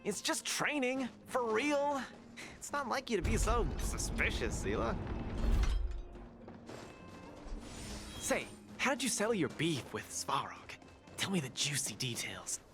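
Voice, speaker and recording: An actor playing a cocky, good-hearted young fighter in an anime-style video game, breezy, boastful and eager. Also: male voice, high voice, very animated, moderate pace, over music. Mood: breezy, teasing.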